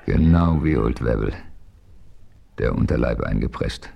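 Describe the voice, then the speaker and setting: An actor playing a seasoned frontier hero who narrates in a calm, measured, authoritative way in an old radio play. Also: male voice, very deep voice, vocal fry, highs cut off, full character voice. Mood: firm.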